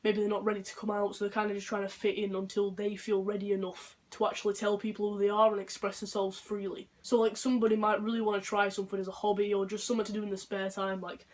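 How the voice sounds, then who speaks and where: A young man with a deep voice, talking unscripted in a rambling, earnest vlog monologue. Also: monotone, quick pace, few pauses. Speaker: vlogger.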